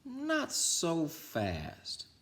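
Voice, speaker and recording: A big schoolkid in a storybook, acted by a man, knowing and ominous. Words are drawn out as warnings, with animated pitch. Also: drawn-out words, heavily acted.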